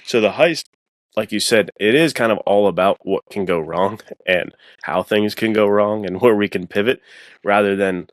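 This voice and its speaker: A man's voice: fairly low pitch, normal speed, no room sound, studio quality. A man talking as a guest author in a casual, slangy podcast chat. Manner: informal, slangy.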